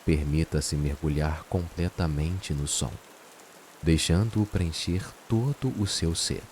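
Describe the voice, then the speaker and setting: A man with a Brazilian accent narrates a guided meditation in a soothing, unhurried way, leaving long pauses. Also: extremely low pitch. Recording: steady rain and hiss behind voice. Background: rain sounds.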